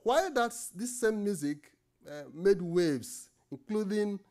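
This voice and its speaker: A middle-aged Nigerian man giving a lecture at a podium microphone in an indoor lecture room, pausing often with fillers and restarts. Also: sits high, lively intonation, a little mellow. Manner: hesitant, many fillers and restarts. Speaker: guest lecturer.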